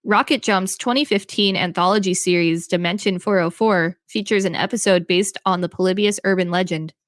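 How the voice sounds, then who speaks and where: A woman's voice: mid-range voice, fairly quick, no echo, clean studio sound. A woman co-hosting a podcast, reading an encyclopedia article aloud with care.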